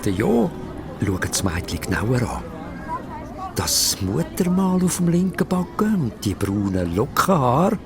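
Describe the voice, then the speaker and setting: A man with a Swiss German accent narrating a children's audio story in an animated, scripted way. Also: mid-range voice, measured pace, gravelly, music in the background.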